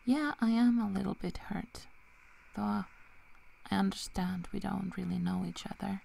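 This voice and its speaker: A woman playing a loyal, protective young werewolf in a whispered ASMR roleplay, speaking softly and haltingly. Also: mid-range voice, creaky. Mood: soft, reassuring. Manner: soft, halting, breaking into whispers.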